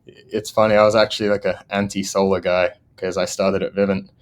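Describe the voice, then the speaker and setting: An Englishman in his thirties speaks low and even, with little rise or fall in his voice. His accent has drifted partway toward American. He tells stories as a podcast guest and laughs often along the way. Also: fairly quick. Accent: English, partly Americanized. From England. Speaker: guest, solar sales manager. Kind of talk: casual podcast interview.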